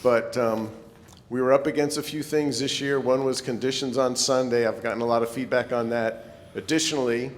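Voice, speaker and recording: A man's voice: fairly even intonation, moderate pace. A man giving an informal, slightly hesitant club presentation in a meeting hall. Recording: echoey hall, rumbling background noise.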